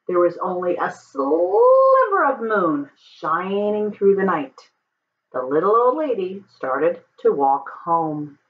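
A middle-aged woman reads a children's story aloud. Her pitch moves up and down in a lively way, and she takes her time with deliberate, dramatic pauses. The webcam recording sounds a little dull. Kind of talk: expressive picture-book read-aloud. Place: home office, indoors.

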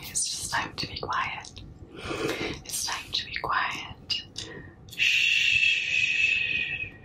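Young woman doing asmr, breathy whisper right up close, super slow n soothing, shushing. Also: low-quality recording. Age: young adult. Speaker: ASMR performer. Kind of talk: whispered ASMR comforting roleplay. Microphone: very close to the mouth.

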